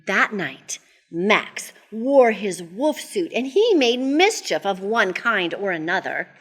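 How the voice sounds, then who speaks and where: A woman reading a picture book aloud to young children in an expressive, theatrical way, inviting them to join in. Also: mid-range voice, drawn-out words, pauses often, faint music behind. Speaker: children's storyteller and host.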